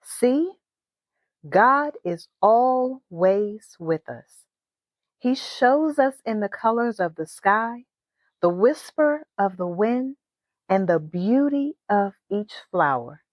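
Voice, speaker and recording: A woman voicing a gentle, reassuring mother in a children's storybook, tender and drawn-out. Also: mid-range voice, animated, no echo. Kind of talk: children's storybook read-aloud.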